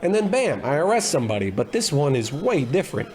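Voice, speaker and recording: Man playing high-voiced, blustery bumbling private eye. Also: very animated, fast, darkish tone. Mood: blustery, oblivious. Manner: oblivious, self-important, grumbling. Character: bumbling, blustery, gluttonous male private investigator. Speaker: private detective in a radio play. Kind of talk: scripted comedy in old radio detective style.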